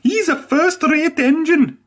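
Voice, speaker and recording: A man voicing excited, cheering male steam engines in a children's storybook. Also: very high voice, slow, pitched above the performer's own voice.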